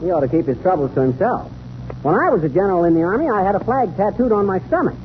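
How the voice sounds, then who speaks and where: An actor playing a cocky retired army major who spins tall tales, in folksy American 1930s radio comedy style. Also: male voice, high voice, very animated, quick pace. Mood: breezy, cheeky. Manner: folksy, boastful, ungrammatical wisecracking. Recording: noisy, tinny 1930s radio recording.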